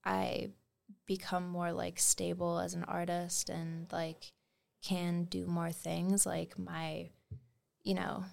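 A woman's voice: on the low side, flat delivery, takes it slowly, vocal fry, darkish tone. A young woman from Wales raised in Canada, a musician guest chatting casually on a podcast, full of fillers and trailing phrases.

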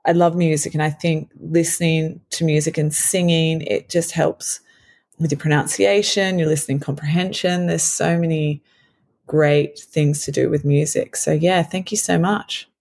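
A woman hosting a podcast for learners, explaining words slowly, patiently and simply. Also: deep voice, fairly even intonation, a little mellow, no echo. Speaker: podcast host for English learners. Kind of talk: slow, clear explanatory teaching talk.

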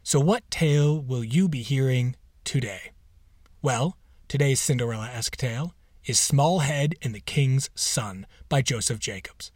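A man's voice: mid-range voice, a little slow, a little mellow, no room sound, studio quality. A man hosting, giving a scripted introduction to a story. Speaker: host.

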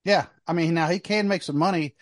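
A man's voice: on the high side, fairly even intonation, fairly quick, over a noisy crowd. A man hosting a sports podcast, chatting casually and giving his opinions in a conversational way. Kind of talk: casual wrestling discussion.

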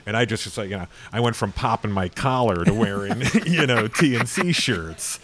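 Low-voiced curious host. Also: male voice, expressive, moderate pace, a crowd in the background. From New York. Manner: curious, interested questions. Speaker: podcast host. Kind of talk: casual interview.

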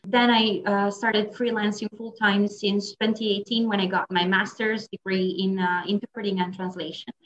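A woman's voice: medium pitch, fairly bright tone, dull audio, slight background noise. A young adult woman with an Italian accent, a native Italian speaker, chatting as a podcast guest about her work as an interpreter, with frequent laughs and fillers. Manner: chatty, laughs often, many fillers and restarts.

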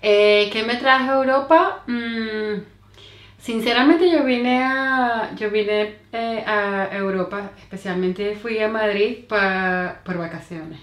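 A Venezuelan woman in her thirties, a guest in a casual interview, talking with many fillers, pauses and restarts. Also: mid-range voice, echoey room.

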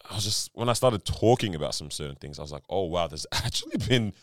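A man's voice: low-pitched, expressive, fast talker, no echo, studio quality. A man co-hosting a podcast, talking casually in slangy, conversational phrases and circling back over his thoughts. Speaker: podcast co-host. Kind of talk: casual chat.

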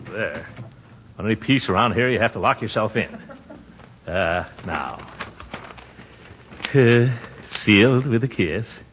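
An actor playing a pompous, easily flustered middle-aged American uncle in a 1940s radio comedy. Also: male voice, on the low side, animated, slow pace, pauses often, background noise. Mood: exasperated, then smitten. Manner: pompous, flustered, given to chuckling. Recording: dull 1940s radio recording.

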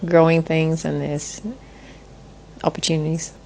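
Woman in her 40s with a low fry-tinged voice, thinking out loud as a guest. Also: completely flat delivery, slight background noise. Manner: thoughtful, measured, with frequent restarts. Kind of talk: reflective interview conversation.

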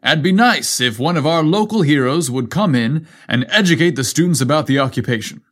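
A man's voice: on the high side, lively intonation, fairly quick, fairly bright tone. A man voicing a polite, composed male schoolteacher, courteous and articulate, in a story reading.

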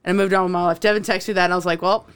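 A woman's voice: on the low side, steady tone, racing, clean tone. A woman co-hosting a podcast, chatting playfully. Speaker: podcast co-host. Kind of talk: casual chat.

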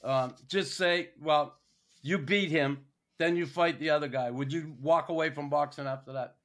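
A man hosting a podcast interview, jokey and quick to laugh, asking blunt questions. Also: a little high, fairly bright tone. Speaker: podcast host.

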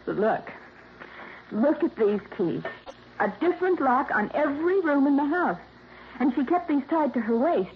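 A bitter young woman in her twenties, fairly high-pitched and sharp-tongued. Her voice comes through thin and tinny, like an old radio broadcast with a faint hiss behind it. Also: big pitch swings, measured pace, heavily acted. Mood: nervous. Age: 20s. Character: bitter young woman, niece cut from will. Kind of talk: 1950s radio drama acting.

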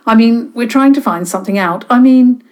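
A woman's voice: a little high, fairly quick, voice pushed up, lightly acted. A woman voicing a serious young boy detective asking pointed questions. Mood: flustered. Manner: businesslike, determined.

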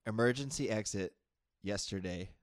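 A boisterous, opinionated Texan man banters on a podcast, laughing often as he pushes his views. His voice sits low and sounds dry and close, with no room around it. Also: normal speed, dry recording. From Texas. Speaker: podcast co-host. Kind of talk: casual banter.

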